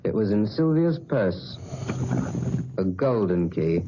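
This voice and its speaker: A man's voice: lowish voice, very animated, takes it slowly, background noise. An actor playing a masked, mysterious playwright who speaks with suave, cold menace and narrates his own story, in 1940s radio acting. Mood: cold, cutting. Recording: muffled old radio recording with music.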